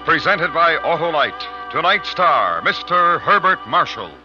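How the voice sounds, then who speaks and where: Casting: male American announcer for vintage radio thriller, slightly high voice, portentous delivery, loud music behind. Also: very animated, measured pace, dull audio. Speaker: program announcer. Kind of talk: dramatic 1950s radio announcing.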